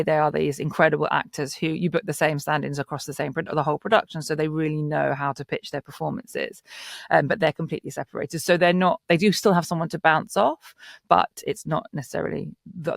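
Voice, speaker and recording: A man's voice: on the high side, gravelly, a bit bright, dry recording, studio quality. A man speaking as an audio drama producer, explaining the process in a panel talk.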